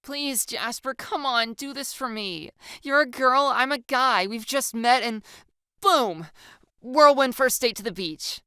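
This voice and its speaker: A woman's voice: a little high, unhurried, sharp tone, clear, resonant tone, no room sound, studio quality. A woman voicing a playful, pleading girl in an audiobook, with a theatrical, teasing, slangy way of talking. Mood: eager, coaxing. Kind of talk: audiobook character dialogue.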